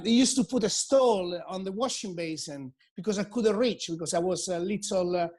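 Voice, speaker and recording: A man's voice: sits high, darkish tone. A middle-aged Italian man with an Italian accent, a hairdresser talking as a guest in a casual interview, hesitant with many fillers and restarts. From Italy.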